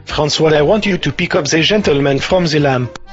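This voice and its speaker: A man's voice: fairly high pitch, brisk, dull audio, faint music behind, natural voice. A man voicing a bumbling, pompous police officer puppet with a stilted, literal-minded manner. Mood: bossy, slow-witted. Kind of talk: comic puppet show acting.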